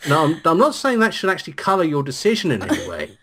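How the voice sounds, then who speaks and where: A man trades casual banter as a game co-host. He is dry and teasing, with rambling asides and a lively, varied pitch. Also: fast, slight background noise. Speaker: let's play co-host.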